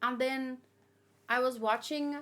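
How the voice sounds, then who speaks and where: A woman's voice: high-pitched, steady tone, slow pace, fairly bright tone. A woman giving informal, opinionated commentary as she hosts a casual live read-along and talks with chat. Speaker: manga read-along host.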